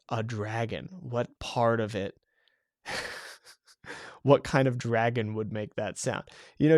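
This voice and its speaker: A man's voice: mid-range voice, a little mellow, no echo, studio quality. A man hosting his own podcast, thinking aloud in a reflective way, a little stuffy from allergies. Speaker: podcast host, game developer. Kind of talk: solo podcast monologue.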